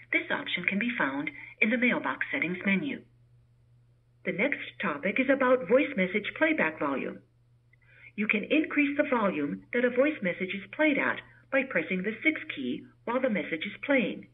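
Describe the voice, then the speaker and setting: A woman reading scripted voicemail help prompts as an automated system announcer, in a measured, helpful, soothing way. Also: mid-range voice, breathy edge. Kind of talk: scripted instructional phone prompts. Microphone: telephone voicemail playback over VoIP line. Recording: tinny, narrow-band phone line.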